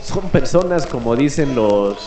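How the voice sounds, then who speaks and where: Echoey man musing haltingly over music. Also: medium pitch, animated. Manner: halting, reflective, rhetorical questions. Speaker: radio show host in character. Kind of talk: improvised monologue as a 1940s radio commentator.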